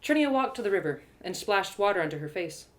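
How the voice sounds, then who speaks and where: A woman's voice: mid-range voice, very animated, quick pace. A woman storyteller reading scripted first-person fantasy narration expressively. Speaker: storyteller, author reading live.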